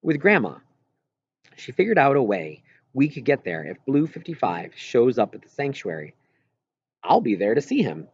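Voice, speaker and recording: A man's voice: medium pitch, big pitch swings, moderate pace, husky, no echo, highs cut off. A man reading an audiobook as a hopeful young kid, earnest and a little unsure but determined. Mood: hesitant, confiding. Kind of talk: audiobook character dialogue.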